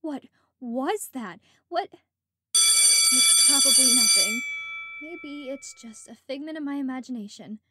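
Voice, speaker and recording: A woman's voice: high voice, huge pitch swings, measured pace, very noisy, heavily acted. A woman voicing a sweet, anxious young female unicorn pony in an amateur cartoon drama, earnest and emotive. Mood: uneasy. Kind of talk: amateur animated audio drama.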